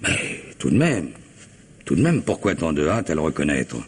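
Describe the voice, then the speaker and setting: An actor playing a stern, probing police commissioner, questioning in a formal, measured way in an old radio drama. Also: male voice, a bass voice, sing-song, slightly rough, full character voice. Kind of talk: 1960s French radio drama acting.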